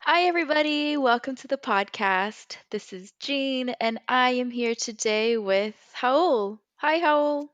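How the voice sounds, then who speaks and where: A woman's voice: high-pitched, animated, measured pace, few pauses, fairly bright tone, no echo, lo-fi sound, faint music behind. A woman with an American accent from California, co-hosting a podcast in a casual, conversational way. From California, USA. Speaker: podcast co-host.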